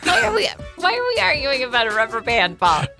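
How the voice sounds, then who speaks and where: A woman's voice: a little high, wildly swooping pitch, a little fast, gravelly, music in the background. A woman co-hosting a morning radio show, laughing often and firing back sassy, defiant comebacks. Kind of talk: playful morning radio banter.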